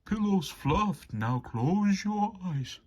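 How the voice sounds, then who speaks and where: Night train porter for a bedtime storybook, played by a man: raised pitch, stretched sing-song calls. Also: expressive, slow, a little mellow, heavily acted. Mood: calling out, cheery. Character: male night train porter calling passengers to bed.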